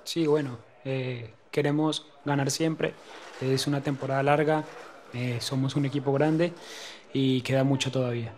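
A man playing a professional footballer giving a measured, slightly hesitant post-match interview in a game. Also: medium pitch, fairly even intonation, a little mellow, close to an everyday voice.

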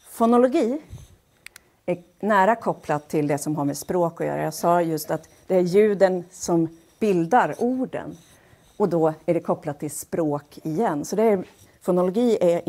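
A woman giving an explanatory public lecture in a measured, pausing and somewhat hesitant way. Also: lowish voice, mellow. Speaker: lecturer, speech-language pathologist. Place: wood-panelled lecture hall, indoors. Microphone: headset.

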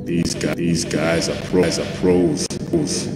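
Deep, grave captive agency chief, echoing. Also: male voice, fairly even intonation, takes it slowly. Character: captive middle-aged male defense agency chief, grave and weary. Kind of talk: dramatic video game cutscene dialogue. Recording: echoey reverberant room under loud music. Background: loud game music.

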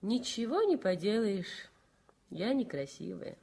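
An actress playing a caring, modest nurse of nearly twenty in a 1960s radio drama, solicitous and slightly bashful. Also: female voice, mid-range voice, sing-song, unhurried, gravelly, dark tone, husky, heavily acted. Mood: bashful, brisk.

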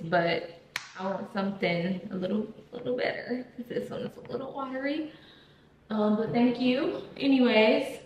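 A woman's voice: mid-range voice, a little mellow, a little hiss or hum, poor audio. A young woman in her twenties chatting casually and playfully in her apartment kitchen as she films a vlog on a handheld phone. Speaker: vlogger.

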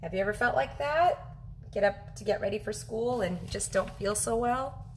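A woman in her thirties reading a children's picture book aloud as a teacher, animated and expressive, drawing out her phrases for young listeners. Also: mid-range voice, normal speed, a little hiss or hum, poor audio. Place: home kitchen, indoors.

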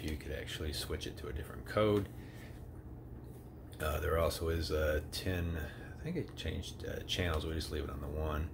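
Middle-aged man, deep voice, laid-back home reviewer, steady hiss behind him. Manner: easygoing, rambling with many "uh"s. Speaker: product reviewer. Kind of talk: casual product review. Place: indoors at home.

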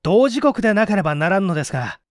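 A man's voice: high-pitched, very animated, quick pace, dry recording, clean studio sound, voice pushed up. A man playing a cool, politely needling young man in a mystery story reading, articulate and pointed.

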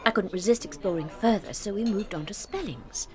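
An actress playing a sweet, gentle British primary school teacher in a dramatised audiobook, speaking politely, patiently and earnestly. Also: female voice, medium pitch, fairly quick, music in the background, close to an everyday voice. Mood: earnest, amazed.

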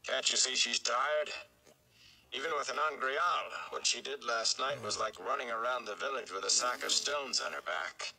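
A man's voice: mid-range voice, normal speed, vocal fry, bright, forceful tone, voice pushed up, poor audio. A man voicing a grim, protective warrior bodyguard in a storybook reading, blunt and scornful.

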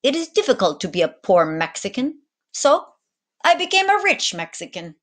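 A woman's voice: high-pitched, very animated, moderate pace, fairly bright tone, voice pushed up, exaggerated voice. A woman voicing a tall, proud male ship captain who talks in a grand, bookish way. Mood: boastful.

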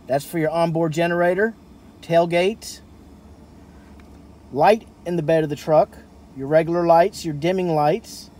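High-voiced folksy man. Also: measured pace, long pauses, a little mellow. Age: middle-aged. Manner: folksy, informal. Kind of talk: casual vehicle walkaround. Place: inside a pickup truck cab in a parking lot. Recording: camera audio with cabin noise.

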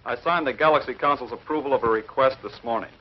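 An actor playing a firm, authoritative president in a 1950s television space serial, speaking formally and curtly. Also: male voice, mid-range voice, fast talker, lightly acted. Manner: formal, curt, official. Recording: muffled, hissy 1950s film soundtrack.

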